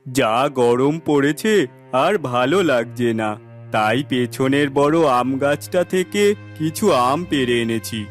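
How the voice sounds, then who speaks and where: A man plays a poor farmer husband in a cartoon village tale. He sounds troubled and plaintive at a medium pitch, with music underneath. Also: unhurried, lightly acted. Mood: weary. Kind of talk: cartoon moral story acting. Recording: voice over background music.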